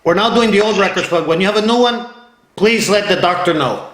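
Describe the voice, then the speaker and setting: Male interview host from Ohio, high voice, eager, restarts a lot; echoey room. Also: fairly quick, a bit bright. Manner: eager, repeats and restarts phrases. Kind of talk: casual interview.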